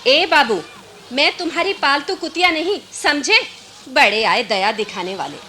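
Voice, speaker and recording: A woman acting a proud, prickly poor village mother in a Hindi radio drama, with a sharp, scornful, declamatory delivery. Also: sits high, expressive, normal speed, slight background noise. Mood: indignant, scornful.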